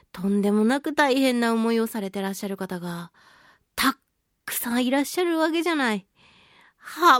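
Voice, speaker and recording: A woman hosting a casual solo radio talk show, speaking in an expressive, self-deprecating way with drawn-out exclamations. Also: on the high side, no echo, clean studio sound. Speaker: voice actress and singing event MC.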